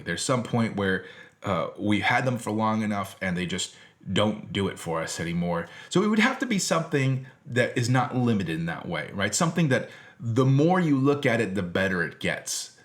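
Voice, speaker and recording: A man's voice: fairly low pitch, fairly bright tone. An American man giving an informal philosophy lecture in a conversational, emphatic way, as a teacher presenting to an audience he addresses directly.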